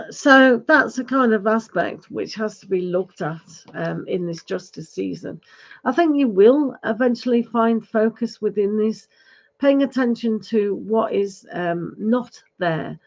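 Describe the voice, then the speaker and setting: A woman giving a live tarot reading, advising gently with many fillers, her voice going hoarse. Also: medium pitch, muffled recording. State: losing her voice, clearing throat. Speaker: tarot reader.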